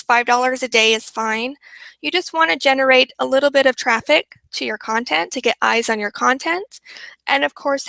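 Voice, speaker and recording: Upbeat woman teaching a webinar, voice fading from a long week, crisp bright tone, dead-dry sound. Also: sits high, dry recording, poor audio. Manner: upbeat, repeats for emphasis. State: losing her voice. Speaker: webinar presenter.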